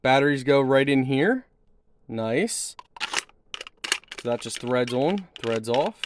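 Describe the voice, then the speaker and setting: A man's voice: mid-range voice, slow pace. A man giving a casual product unboxing and demo in an easygoing, approving, informal way. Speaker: product reviewer. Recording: noticeable background noise with handling clicks.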